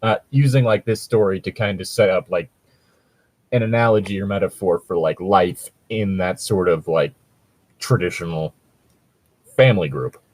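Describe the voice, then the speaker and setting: Male podcast co-host, fairly deep, halting and sweary off-the-cuff commentary, very little room sound. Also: a little mellow, dry recording. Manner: hesitant, filler-heavy, casually sweary. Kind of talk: casual chat commentary.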